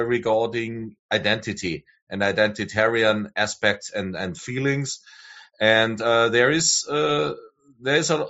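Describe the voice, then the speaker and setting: A man's voice: medium pitch, fairly bright tone. A German man with a German accent, speaking English as a second language, hesitant and full of filler sounds, as a guest author. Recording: dull lo-fi remote audio.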